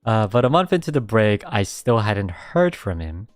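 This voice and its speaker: A young man streaming a casual radio-style chat, reading out listener submissions, laughing often and reacting in an animated way. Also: normal speed, faint music behind. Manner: animated, laughs often, jumps high when excited.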